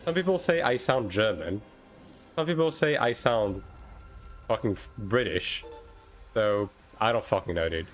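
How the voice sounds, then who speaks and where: A man in his thirties speaks English with a French accent in a loose live-stream chat with his viewers. His pitch swings widely as he talks, and he swears freely and stumbles into restarts. Faint music plays under his voice. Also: animated, measured pace, long pauses. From France. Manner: swears casually, reads chat aloud, restarts often. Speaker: game streamer.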